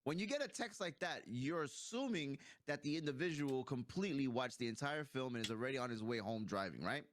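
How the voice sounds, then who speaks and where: An American man co-hosting a casual film review, talking in animated, slangy style. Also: medium pitch, fairly quick, slight background noise. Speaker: co-host of a movie review show.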